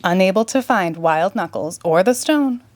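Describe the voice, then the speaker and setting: A woman narrating a children's story in a clear, expressive read-aloud manner. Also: mid-range voice, normal speed, slight background noise. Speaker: storybook reader.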